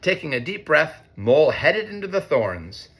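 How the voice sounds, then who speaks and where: A man narrating a children's storybook interactively, expressive and playful, pausing to put questions to his listeners. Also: fairly high pitch. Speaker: read-aloud storyteller, teacher.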